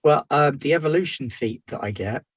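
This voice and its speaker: A man's voice: on the high side, lively intonation, brisk, slightly rough, no echo. A man playing a tabletop game, thinking aloud while he walks through his character. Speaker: player. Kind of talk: casual chat about game rules.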